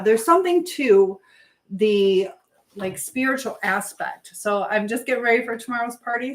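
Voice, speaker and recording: A woman's voice: mid-range voice, fairly bright tone, a little hiss or hum. A woman hosting a live stream in a casual, rambling chat, drifting between topics as she keeps busy with chores.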